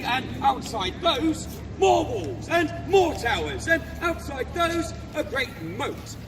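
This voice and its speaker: A man's voice: very high-pitched, full character voice. A man playing a jovial, blustering castle guard in a live outdoor re-enactment, projecting theatrically to visitors. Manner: theatrical, declamatory, projecting to a gathering. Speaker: costumed guide performing for visitors. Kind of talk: outdoor live historical re-enactment, audience participation. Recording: outdoor crowd, noisy open reverberant space.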